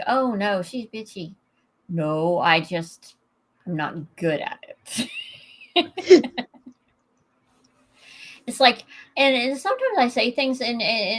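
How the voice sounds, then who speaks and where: Slow-talking woman hosting a casual chat. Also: mid-range voice. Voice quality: flat tone of voice. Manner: relaxed, unhurried, many fillers and restarts. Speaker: host.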